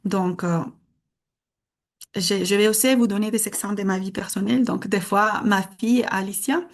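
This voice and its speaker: A woman's voice: medium pitch, steady tone, normal speed. Woman presenting. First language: Spanish. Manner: earnest, explanatory, hesitant. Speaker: conference speaker. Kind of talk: conference presentation.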